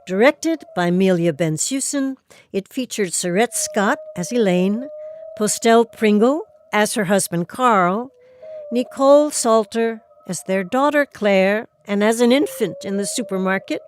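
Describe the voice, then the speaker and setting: A woman's voice: medium pitch, lively intonation, a little slow, slight background noise. A woman hosting, reading scripted credits and introducing an interview. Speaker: host.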